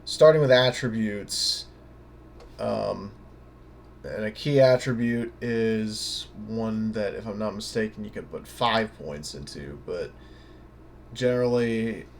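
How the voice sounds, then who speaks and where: Male tutorial presenter, fairly low pitch, informal and halting delivery as he thinks out loud, slight background noise. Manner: hesitant, halting, thinking aloud. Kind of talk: casual unscripted screen tutorial.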